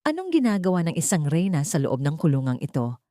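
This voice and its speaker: A woman's voice: deep voice, very animated, fast talker, warm tone, no echo. A dangerous male villain in prison, full of mockery, in an audio story, acted out by a woman narrator. The sound is close and dry. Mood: mocking. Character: taunting, dangerous, disheveled male villain behind bars.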